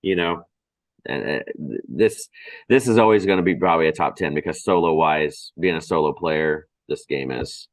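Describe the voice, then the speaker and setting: Deep-voiced man, halting casual talk. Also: no room sound, poor audio. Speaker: board game show host. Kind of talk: casual chat.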